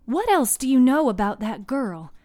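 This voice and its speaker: A probing young woman, put on by a female narrator, pitched high, thinks aloud in a storybook fantasy. She is analytical and a little halting, with a dry, close sound. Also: sing-song, mellow, no echo.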